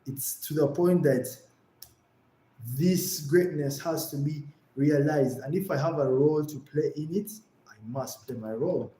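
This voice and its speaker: A man's voice: on the high side, a little slow, warm tone. Earnest Ugandan-born man, echoey room. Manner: earnest, reflective, repeats phrases. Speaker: live streamer and creator. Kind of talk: livestream talk.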